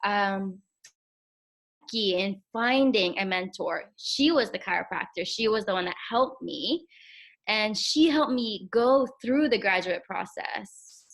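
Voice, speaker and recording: A woman's voice: medium pitch, animated, takes it slowly, a bit bright. Egyptian-born woman chiropractor, candid. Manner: candid, laughs often, reflective. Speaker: chiropractor guest. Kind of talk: casual interview conversation.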